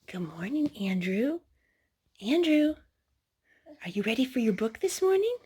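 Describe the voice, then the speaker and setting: Slow-paced woman reading to children. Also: fairly high pitch, very animated, background noise. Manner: child-directed, asks prompting questions. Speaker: host of a children's storytime. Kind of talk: reading picture books aloud to children.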